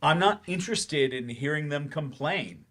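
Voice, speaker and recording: A man's voice: on the high side, expressive, moderate pace, fairly bright tone. A male actor in a staged play reading, playing a frustrated, defensive therapy patient who talks in a hesitant, grudging way. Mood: aggrieved, defensive. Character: defensive, frustrated man in therapy, once-promising writer turned teacher.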